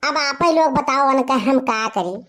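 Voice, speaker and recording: Someone voicing a high-pitched boastful cartoon village lad. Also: unhurried, a bit bright. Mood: defiant. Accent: eastern Uttar Pradesh Awadhi. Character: boastful young village slacker Kallu, male cartoon character. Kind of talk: comic first-person storytelling for a cartoon.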